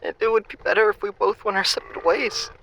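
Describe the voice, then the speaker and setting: A man playing a lonely, heartbroken young lover in an audio drama, halting and forlorn. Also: high voice, steady tone, gravelly, bright, forceful tone, full character voice. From America. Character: mopey, lonely, heartbroken jilted boyfriend. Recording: faintly noisy phone-call style.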